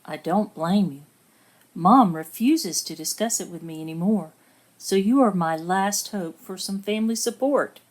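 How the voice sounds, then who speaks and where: An actress playing an earnest woman narrating her own letter, measured and sincere, in a scripted drama. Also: mid-range voice, a little mellow, lightly acted. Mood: earnest, pleading.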